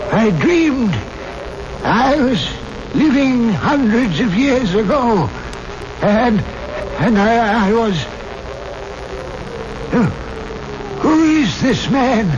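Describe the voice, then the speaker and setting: A weary, fervent old grandfather from a radio drama. His high voice swings widely as he speaks in halting, dramatic phrases. The old recording is muffled. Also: background noise, heavily acted. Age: older. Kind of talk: 1970s radio drama acting.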